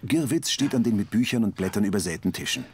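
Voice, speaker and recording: A man's voice: fairly low pitch, animated, fast, breathy edge. A man reading scripted audio description for a TV crime comedy in a neutral, matter-of-fact way.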